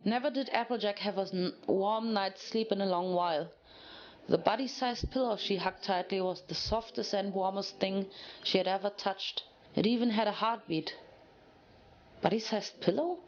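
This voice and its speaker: Woman narrator reading a story dramatically, mid pitched voice. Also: normal speed, muffled recording.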